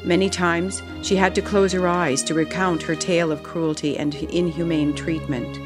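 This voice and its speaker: A narrator reading a solemn, measured documentary script. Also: mid-range voice. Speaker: narrator of a study tour video.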